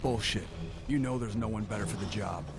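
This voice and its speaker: A man's voice: lowish voice, fairly quick, gravelly. A man playing a bitter, defiant covert operative in a video game, sardonic and accusing. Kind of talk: cinematic video game acting. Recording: music behind.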